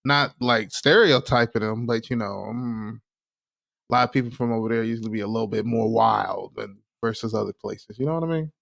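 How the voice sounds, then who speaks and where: A man's voice: on the low side, brisk, gravelly, a bit bright, dry recording, clean studio sound. A man co-hosting a podcast, chatting in a laid-back, easygoing way. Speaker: podcast co-host. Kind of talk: casual podcast chat.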